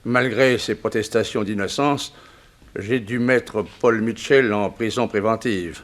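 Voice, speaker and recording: An actor playing a composed, formal examining magistrate recounting a case in a 1960s French radio drama. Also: male voice, fairly low pitch, steady tone, measured pace, close to an everyday voice. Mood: sober recounting.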